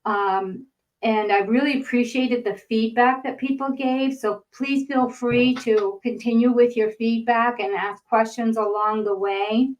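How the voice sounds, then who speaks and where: A woman's voice: a little high, fairly even intonation, unhurried, lo-fi sound. An older woman from northern Maine with a Boston accent, teaching a grant-writing webinar in a chatty way with many ums and uhs. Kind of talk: webinar presentation. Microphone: webinar microphone.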